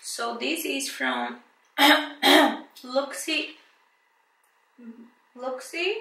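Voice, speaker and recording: A woman's voice: sits high, fairly bright tone, echoey room, recorded from a distance, rough recording. A woman from Brazil with a thick Brazilian Portuguese accent, chatting casually and hesitantly as she unboxes and reviews beauty products, with many fillers and restarts. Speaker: beauty vlogger.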